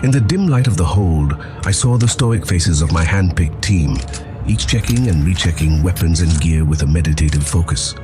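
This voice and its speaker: A man's voice: low-pitched, animated, normal speed. A man giving grave, intense, theatrical first-person narration as the storyteller of an audio drama. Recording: loud music under the voice. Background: loud music.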